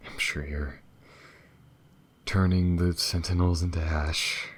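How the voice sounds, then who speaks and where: A man playing a gentle, wistful alien lover in an intimate ASMR roleplay, speaking softly and hesitantly. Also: low-pitched, level tone, full character voice. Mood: wistful, hopeful. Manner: soft, hesitant, breathy pauses and sighs. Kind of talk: ASMR audio roleplay, intimate monologue to the listener.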